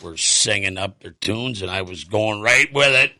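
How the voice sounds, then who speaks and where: A middle-aged man co-hosting a radio show, slightly hoarse, telling rambling stories in casual chat. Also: on the low side, moderate pace, pushed and bright. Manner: rambling, laughing storyteller. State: voice a little hoarse. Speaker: radio co-host.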